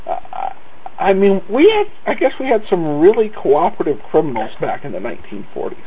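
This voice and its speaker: Animated, halting podcast host, muffled. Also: male voice, high voice. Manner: halting, repeats phrase starts. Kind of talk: casual commentary. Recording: muffled lo-fi podcast, faint noise.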